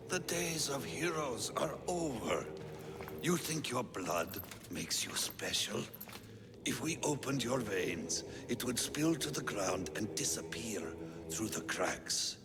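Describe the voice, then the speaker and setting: Slow, gravelly, menacing cultist. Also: male voice, lowish voice, very animated, background noise, full character voice. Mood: mocking contempt. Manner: slow, taunting, theatrical delivery. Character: menacing male cultist deriding heroes, cold and taunting. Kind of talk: dramatic video game cutscene acting. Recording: music behind the voice.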